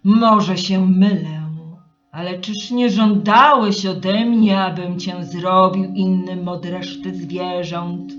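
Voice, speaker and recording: A stern storybook god of the salt marsh, played by a woman, speaks formally and with command. Music plays clearly and the sound is a little muffled. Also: lowish voice, fairly even intonation, slow pace.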